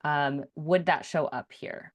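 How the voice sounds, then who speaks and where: A woman with a somewhat low voice moderates an online Q&A session. She reads out questions from attendees in an informal way, sums up the point and organizes who should take it. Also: steady tone, slow pace. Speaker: webinar moderator. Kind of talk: reading out and fielding attendee questions.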